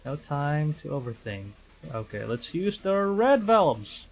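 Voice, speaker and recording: A man livestreaming a visual novel, reading it aloud with excitable, dramatic delivery and chatty casual asides. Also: on the high side, unhurried. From Philippines. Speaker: streamer. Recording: music behind the voice. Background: game music.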